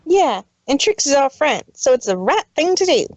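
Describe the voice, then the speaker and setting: A woman's voice: sits high, sing-song, normal speed, no echo. A woman voicing an earnest, loyal young girl pony in a cartoon fanfic drama. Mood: curious, earnest.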